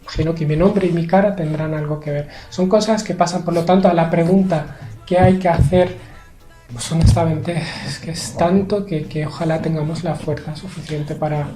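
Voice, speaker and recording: A young man from Spain answers interview questions in a measured, hesitant way, pausing often and using fillers. His voice has a mellow, dark tone. The sound bounces around an echoey room. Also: on the high side, fairly even intonation, soft background music. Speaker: interview guest. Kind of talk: thoughtful conversational interview.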